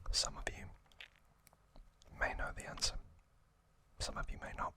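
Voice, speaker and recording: A man hosting ASMR trivia in a breathy, unvoiced whisper, speaking in drawn-out phrases with frequent pauses, close to the microphone. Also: sharp tone. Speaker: ASMR host.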